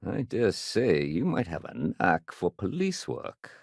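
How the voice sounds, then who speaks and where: The lowish-voiced, wry, by-the-book police sergeant you'd meet at a spaceport desk in a sci-fi game, clipped and dutiful, his pitch moving freely. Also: huge pitch swings, slow pace, gravelly, breathy edge, no echo, clean studio sound, full character voice. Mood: dry, firm. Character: male city security sergeant, dry, no-nonsense, dutiful. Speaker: video game character voice actor. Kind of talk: video game dialogue.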